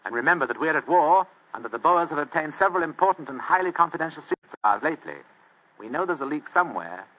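An actor playing a pompous, languid, shrewd English gentleman of authority, measured and deliberate, in an old radio drama. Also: on the high side, animated. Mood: grave, deliberate. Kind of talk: old radio mystery acting. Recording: tinny old radio recording.

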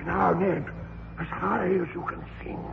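A man playing a smooth, scheming con man in a radio comedy, suave and sly. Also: high voice, fairly even intonation, a little slow, phone-quality sound, over loud music, full character voice. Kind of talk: 1950s radio comedy acting. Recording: old radio broadcast, hiss, reverb.

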